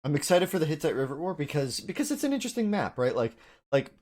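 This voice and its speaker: A man's voice: a little high, sing-song, quick pace, a little mellow. A man streaming live match commentary, chatting informally with his viewers as he goes. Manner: chatty, informal, addresses chat as dude and buddy.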